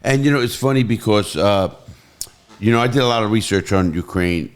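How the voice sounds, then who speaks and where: A man's voice: lowish voice, brisk. A man hosting an interview, casual and rambling, often jumping in. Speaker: podcast host. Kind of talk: interview conversation.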